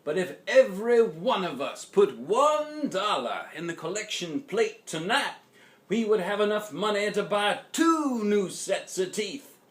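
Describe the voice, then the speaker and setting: A man's voice: sits high, big pitch swings, a bit bright, roomy sound, pitched above the performer's own voice, heavily acted. Man as an emphatic small-town pastor, raised voice. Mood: earnest appeal. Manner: oratorical, emphatic, measured. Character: earnest small-town pastor making a solemn speech.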